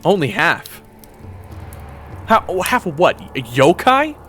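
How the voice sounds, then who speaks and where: A man's voice: fairly high pitch, big pitch swings, slow, pushed and bright, pitched above the performer's own voice, heavily acted. A man voicing a wary, exhausted young soldier who stammers and swears defensively, in an audio roleplay with music behind. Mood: suspicious. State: exhausted and hungry.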